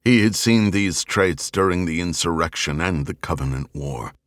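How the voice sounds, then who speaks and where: A man narrating an audiobook novel in a grave, measured, dramatic way with deliberate pauses. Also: sits low, animated, dry recording, clean studio sound. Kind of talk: dramatic scripted narration of a science fiction novel.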